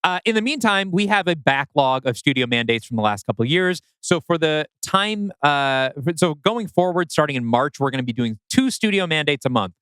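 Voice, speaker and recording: A man's voice: fairly high pitch, big pitch swings, fairly quick, hardly any pauses, fairly bright tone, smooth, no room sound. A man co-hosting a podcast, casually explaining things to listeners. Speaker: podcast co-host.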